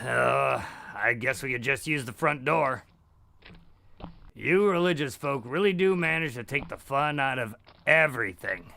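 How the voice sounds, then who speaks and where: An actor playing a sarcastic, irreverent adventurer in an audio drama, with a dry and casual, wisecracking manner. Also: male voice, mid-range voice, expressive, unhurried, vocal fry, bright, forceful tone, heavily acted. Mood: flippant. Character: sarcastic, irreverent male adventurer living in the moment.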